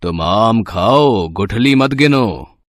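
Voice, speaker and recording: A man's voice: on the low side, expressive, takes it slowly, no echo, clean studio sound. A man playing a menacing, mocking blackmailer in an audio story, taunting and threatening. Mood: mocking menace.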